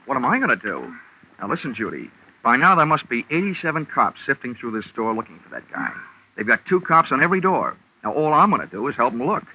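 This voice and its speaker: Brisk, wisecracking radio detective. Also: male voice, medium pitch, sing-song. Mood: cool, dry. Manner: wry, slangy, brisk. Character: wisecracking, cocky male insurance investigator, unflappable. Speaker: lead actor and first-person narrator. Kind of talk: 1940s radio detective acting. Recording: dull, noisy old radio recording.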